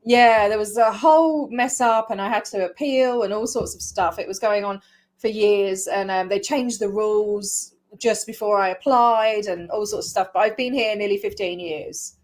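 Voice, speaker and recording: A woman's voice: mid-range voice, moderate pace, darkish tone. A woman from Glasgow an edge, hosting a casual online interview, friendly and encouraging, laughing often. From Scotland, Glasgow. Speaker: podcast host.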